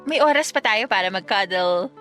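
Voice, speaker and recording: A woman's voice: medium pitch, lively intonation, moderate pace, a bit bright, soft background music, natural voice. A woman playing a candid, affectionate young girlfriend in a radio drama, blunt and questioning in a casual way. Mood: affectionate, coaxing.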